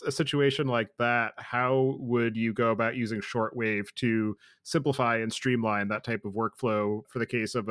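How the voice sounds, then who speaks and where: Man, podcast interviewer, medium pitch, clean resonant voice, measured, articulate. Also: fairly bright tone, no echo, clean studio sound. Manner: measured, articulate, long structured questions. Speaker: podcast host. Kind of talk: interview questions.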